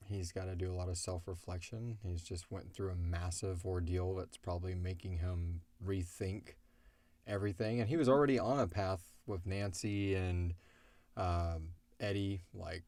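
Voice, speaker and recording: Man, low voice, animated casual co-host, sweary, dry close sound. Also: dry recording. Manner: animated, swearing, acts out imagined dialogue. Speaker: co-host of an audio drama Q&A show. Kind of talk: casual chat answering fan questions.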